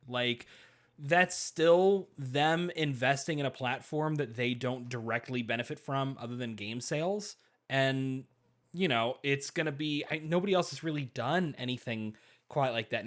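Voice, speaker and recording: Higher-voiced man talking games. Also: fairly bright tone. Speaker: panelist on a gaming talk show. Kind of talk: casual discussion of gaming news.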